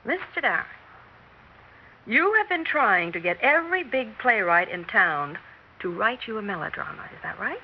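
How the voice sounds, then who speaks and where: A nervy young American woman playwright in a 1960s radio melodrama. Her voice sits high and swings widely as she moves between hesitation and persuasion. The old recording is muffled, with a noticeable hiss. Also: sing-song, normal speed. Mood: confident, pointed.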